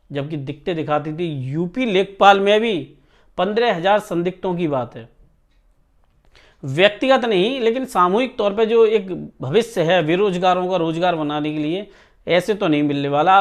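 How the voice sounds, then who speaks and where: A man speaking informally to students as a coaching teacher, emphatic and often checking that they follow. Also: high voice. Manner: emphatic, repeatedly checks listeners understand. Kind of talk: informal exam news talk to students.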